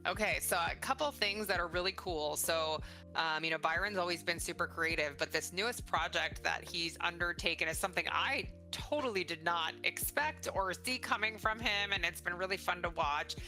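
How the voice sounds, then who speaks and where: A woman's voice: lowish voice, normal speed, sharp tone, poor audio. A middle-aged woman hosting a casual conversational interview in an enthusiastic, encouraging way. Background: faint music.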